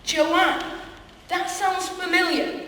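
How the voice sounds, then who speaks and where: A woman's voice: very high voice, fairly even intonation, slow pace, pushed and bright, exaggerated voice. A young woman playing an earnest, dramatic student wizard in a comic amateur radio play. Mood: melodramatic. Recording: very echoey hall from far off, faint noise.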